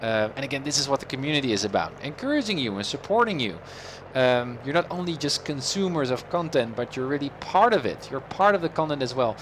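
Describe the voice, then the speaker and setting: A man's voice: mid-range voice, big pitch swings, fast, few pauses, noisy background. A man who is a non-native English speaker, chatting casually on a livestream as an affectionate, encouraging flight coach. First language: not English. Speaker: flight sim streamer and informal flight instructor.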